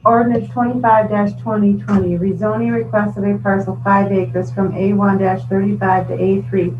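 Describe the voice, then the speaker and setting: A woman's voice: medium pitch, little pitch movement, normal speed. A woman working as a county clerk, formally reading ordinances aloud item by item at a board meeting. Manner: procedural, recites legal descriptions item by item. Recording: muffled, distant mic with loud hum.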